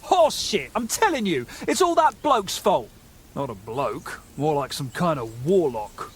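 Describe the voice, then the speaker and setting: An actor playing a nervous, gullible young medieval bandit in a game, with a hesitant, stammering, excitable way of talking. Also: male voice, a little high, a little slow, slight background noise. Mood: agitated, panicked. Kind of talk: video game dialogue acting.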